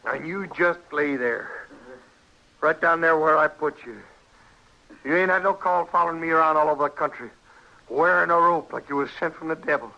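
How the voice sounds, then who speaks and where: A defiant frontier villain in an old radio western, hot-tempered and blustering. His voice is fairly high and sharply forceful, with country phrasing, on a muffled vintage recording. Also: normal speed. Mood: menacing, defiant. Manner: blustering, rustic speech. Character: defiant hot-tempered drunken-reputed frontier wife killer, cornered.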